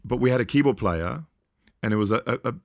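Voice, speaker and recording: A man with a low voice talking casually about an album, hesitant and laughing often as he goes. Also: fast, creaky, no room sound, studio quality. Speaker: podcast guest. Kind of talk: casual music discussion.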